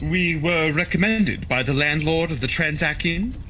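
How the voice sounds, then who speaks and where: A man playing a polite, earnest gentleman, the loyal companion of a detective, in a radio drama. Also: fairly high pitch, moderate pace, muffled recording, slight background noise.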